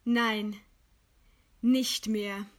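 A woman playing a proud, resigned idealist facing execution in a literary radio play, with a solemn, measured, declamatory delivery. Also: medium pitch, steady tone. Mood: weary, curt.

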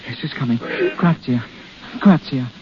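A man's voice: mid-range voice, a little slow, lightly acted. An actor playing an earnest, lovestruck young nobleman in 1930s radio drama style. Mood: anxious. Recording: muffled, noisy 1930s radio broadcast recording.

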